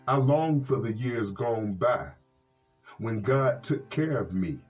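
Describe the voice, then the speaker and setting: American reverend reading scripture over guitar music. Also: male voice, mid-range voice, darkish tone. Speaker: reverend hosting a Bible reading program. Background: plucked guitar music.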